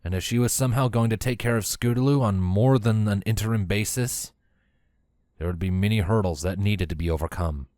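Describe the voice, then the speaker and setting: Low-voiced man narrating a story, dry sound. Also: fairly quick, a little mellow, dry recording. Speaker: fan fiction reader, narrator.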